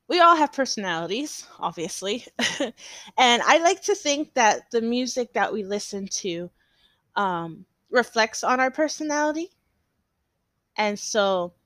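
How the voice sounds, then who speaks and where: A young woman talks slowly through her solo podcast, meandering and hesitant with lots of fillers and restarts. Her voice is a little stuffy from allergies. The sound is close and dry, with no room around it. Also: medium pitch, a bit bright, no room sound. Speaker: podcast host. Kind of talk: casual solo podcast chat.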